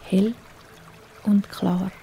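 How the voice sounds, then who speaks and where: A woman with a Swiss German accent, telling a bedtime story in a soft, hushed, soothing way. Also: medium pitch, steady tone, very slow, creaky, a little hiss or hum.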